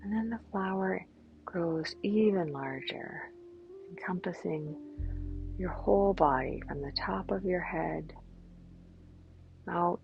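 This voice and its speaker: A woman guiding a meditation in a gentle, soothing manner, with long pauses and a soft voice that turns breathy at times. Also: lowish voice. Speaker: yoga teacher and meditation guide. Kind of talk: guided meditation. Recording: dull, lo-fi sound. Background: clear background music.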